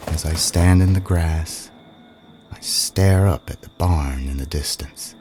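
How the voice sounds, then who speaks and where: An actor playing a haunted young man who narrates a first-person audio drama in a hushed, intimate way. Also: rumbling low, slow pace. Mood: tense, hushed. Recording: music under the voice.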